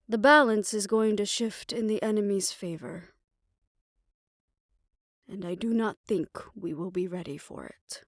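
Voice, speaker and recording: A woman playing a tender, world-weary lover in a fantasy audio roleplay, speaking in a measured, reflective and intimate way. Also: mid-range voice, no room sound, clean studio sound. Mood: grave, reflective. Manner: measured, reflective, formal phrasing, soft hushed moments. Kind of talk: romantic audio roleplay, intimate scripted monologue.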